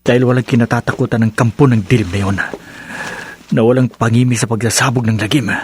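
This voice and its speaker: A man's voice: mid-range voice, fairly even intonation, fast, slightly rough, close to an everyday voice. A man playing a troubled sergeant in a horror radio drama, with a dramatic delivery. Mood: brooding, uneasy. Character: troubled police or army sergeant fearing a vampire.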